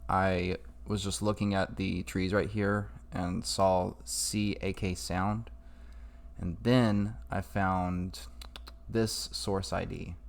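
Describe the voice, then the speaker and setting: Man, low voice, unhurried pace, informal screen-recorded tutorial, improvised walk-through. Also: vocal fry. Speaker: tutorial maker.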